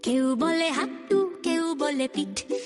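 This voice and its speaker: A woman with an extremely high voice performs a playful children's jingle. She goes unhurriedly over loud music. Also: slow. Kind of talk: playful children's theme song.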